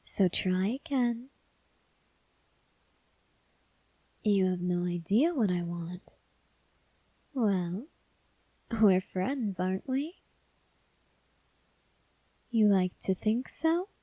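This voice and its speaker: A woman playing a soft-spoken, menacing female vampire in an ASMR roleplay, coaxing the listener in a slow, intimate hush that often drops into whispers. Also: mid-range voice, lively intonation, no echo, rough recording. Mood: coaxing, predatory. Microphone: close mic.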